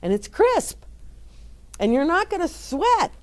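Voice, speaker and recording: A middle-aged woman reading a picture book aloud and chatting gently and encouragingly to young children. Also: very high-pitched, sing-song, slow pace. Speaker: children's storyteller. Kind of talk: read-aloud story time with chat to children.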